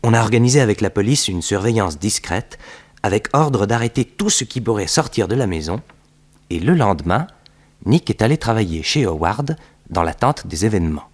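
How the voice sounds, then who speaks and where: An actor playing a loyal detective's assistant who narrates the case in a measured, matter-of-fact way, in 1960s French radio serial style. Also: male voice, fairly low pitch, expressive. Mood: measured storytelling. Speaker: narrator and sidekick.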